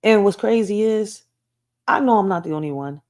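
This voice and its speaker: A woman's voice: medium pitch, expressive, normal speed, a little mellow. A woman from Puerto Rico with a Philadelphia accent, hosting casual commentary and rambling with a frequent "right?". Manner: rambling, says "right?" often. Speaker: host.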